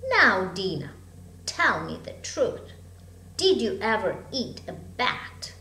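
A woman's voice: mid-range voice, big pitch swings, slow pace, bright, forceful tone, pitched below the performer's own voice, full character voice. A woman voicing a curious, chatty little storybook girl who muses earnestly to herself. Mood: earnest, fond. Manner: wondering, earnest self-talk. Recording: echoey room, hum and background noise.

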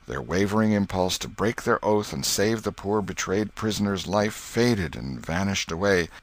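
A man narrating an audiobook in an expressive, literary storytelling style with a dramatic delivery. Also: low voice, normal speed, no room sound.

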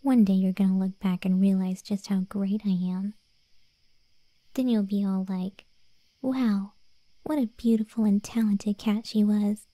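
Woman playing a smug cat girl, very mellow. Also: mid-range voice, fairly even intonation, a little slow, dry recording, lightly acted. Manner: soft, teasing, self-important. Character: smug, conceited undead cat girl, playful and teasing. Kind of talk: ASMR roleplay. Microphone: close, dry studio-style mic.

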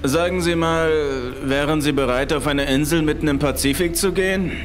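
A man's voice: on the high side, moderate pace, lightly acted. A man voicing a tense, nervous male boss who speaks in a stern, urgent way in a horror audio story. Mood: grave, tense. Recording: music behind the voice.

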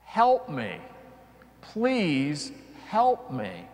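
A middle-aged male priest delivers a homily in a slightly high voice. He speaks very slowly and deliberately, pausing for dramatic effect as he preaches. The long reverb of a large church surrounds his words. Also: huge pitch swings. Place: large church, before a congregation.

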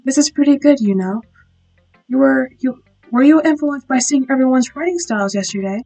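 A woman voicing a shy, bookish teenage girl, reading softly with small slips. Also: high-pitched, animated, faint music behind. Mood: timid. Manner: soft, halting reading with slips. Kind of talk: reading visual novel lines aloud.

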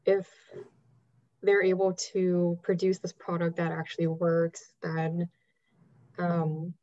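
A woman's voice: fairly low pitch, mellow, muffled recording. A woman student answering in class, tentative and full of fillers. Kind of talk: class discussion.

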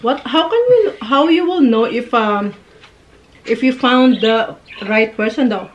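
A woman's voice: a little high, expressive, unhurried, muffled recording, slight background noise. A woman in her thirties from the Philippines with a Filipino accent, chatting while eating at a dinner table and mixing in Tagalog. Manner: chatty, giggly, mixes Tagalog and English. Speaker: vlogger. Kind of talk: mukbang chat. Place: living room at a dinner table. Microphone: camera across the table.

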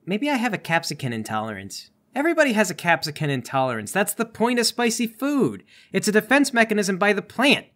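Lively, higher-voiced man on a podcast. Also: fairly quick, hardly any pauses. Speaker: podcast player. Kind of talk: casual comic banter and tabletop gaming.

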